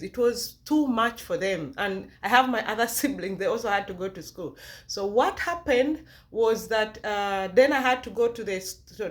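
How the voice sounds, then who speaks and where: A woman vlogger from Uganda with a Ugandan accent, sharing a personal testimony with viewers in an emphatic way, repeating phrases to encourage. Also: fairly high pitch.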